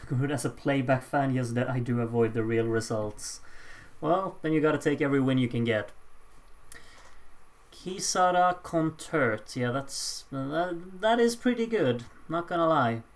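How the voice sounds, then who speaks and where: Someone hosting a live points vote with casual commentary, announcing results with drawn-out pauses and slipping in dry, offhand asides. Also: medium pitch. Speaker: host announcing song contest voting results.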